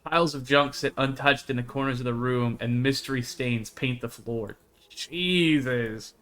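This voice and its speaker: A man's voice: medium pitch, unhurried, a bit bright. A man streaming a visual novel, reading it aloud and reacting casually.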